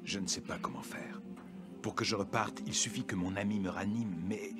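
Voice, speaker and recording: A man's voice: on the low side, steady tone, fairly quick, loud music behind the voice, lightly acted. An actor dubbing a desperate young man trapped in the afterlife, speaking in an earnest, pleading tone over a film score. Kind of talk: dubbed film dialogue.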